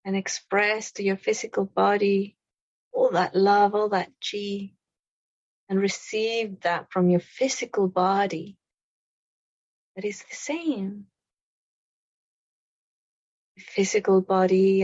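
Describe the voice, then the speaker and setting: Slow-paced woman guiding a meditation. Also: mid-range voice, no room sound, lo-fi sound. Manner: gentle, deliberate short phrases with long pauses. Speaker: meditation guide. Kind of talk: guided meditation, spoken slowly.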